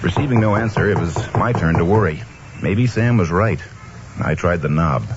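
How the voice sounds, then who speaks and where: An actor playing an easygoing, wry newspaper reporter in an old-time radio drama, recorded with a muffled sound. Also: male voice, sits low, animated, normal speed, music in the background. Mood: brooding narration. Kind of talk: old-time radio acting and first-person narration.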